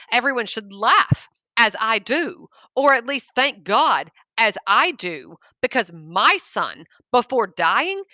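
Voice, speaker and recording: A woman's voice: fairly high pitch, sharp tone, no echo, heavily acted. A woman voicing a proud, stoic and insistent old bereaved father in a short story.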